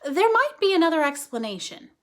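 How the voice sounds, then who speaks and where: A woman's voice: very high-pitched, big pitch swings, fairly quick, voice pushed up. A woman voicing an earnest, commanding young girl in a children's storybook read-aloud. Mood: earnest, bossy. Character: Flora, a cynical, earnest, take-charge young girl.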